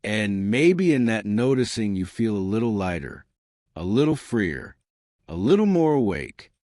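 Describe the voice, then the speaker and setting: A man in a dry, close recording leads a guided meditation in a low, soft voice. He moves very slowly in short phrases with pauses, and his pitch rises and falls a great deal. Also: sing-song, no echo.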